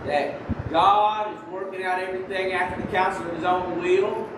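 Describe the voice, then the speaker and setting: Guy preaching softly in a big echoey church, high voice, kinda far from the mic, bit of noise. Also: fairly even intonation, normal speed. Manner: soft-spoken preaching, holds his voice down on the mic. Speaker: lay preacher. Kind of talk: sermon. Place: church sanctuary. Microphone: microphone.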